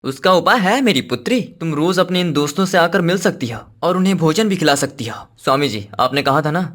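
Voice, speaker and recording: A man's voice: on the high side, animated, a little fast, a bit bright. A man as an earnest, fatherly cartoon king. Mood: gentle. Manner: formal, courtly, earnest. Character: devoted, worried king and loving father, commanding. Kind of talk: cartoon moral-story acting.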